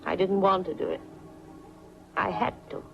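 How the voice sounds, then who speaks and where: An actress playing a mousy older aunt who is a coldly menacing murderess, speaking deliberately in 1940s film style. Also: female voice, mid-range voice, fairly even intonation, gravelly, faint music behind. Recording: dull 1940s film soundtrack from VHS. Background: prominent film score.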